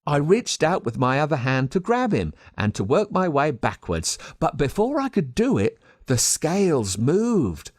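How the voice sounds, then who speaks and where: An Australian man reading a scripted children's story as narrator, with dramatic, expressive delivery. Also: fairly high pitch, measured pace, few pauses, dry recording, studio quality. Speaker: audiobook narrator.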